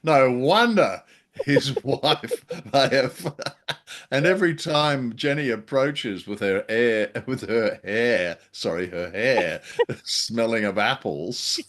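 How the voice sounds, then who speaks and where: A man's voice: mid-range voice, slow, a bit bright. A man co-hosting a casual review chat, commenting on a drama. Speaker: podcast co-host.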